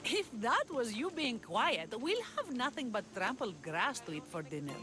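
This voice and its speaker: Brave young huntress rebel from a video game, earnest n dramatic, pitch moves a lot, music under it. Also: female voice, sing-song. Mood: teasing. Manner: earnest, measured, dramatic delivery. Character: brave young woman huntress and rebel leader, haunted yet tender. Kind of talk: cinematic video game acting. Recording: cinematic music behind the voice.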